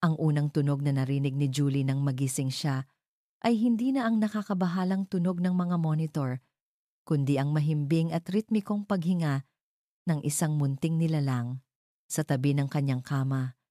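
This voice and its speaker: A woman's voice: low voice, steady tone, moderate pace, dark tone, no echo, studio quality. A woman giving dramatic scripted narration of a story.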